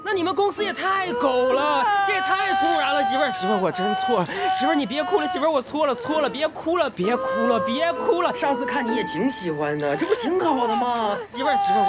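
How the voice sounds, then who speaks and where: Casting: young husband, optimistic and apologetic, very animated delivery, naturalistic drama. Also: male voice, very high voice, exaggerated voice. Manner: coaxing, repetitive pleading, colloquial. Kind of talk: naturalistic screen drama acting.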